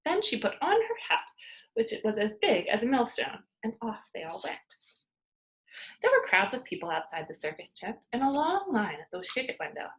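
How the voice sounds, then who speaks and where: A woman reading a children's story aloud like a teacher, expressive and dramatic. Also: a little high, moderate pace, rough recording.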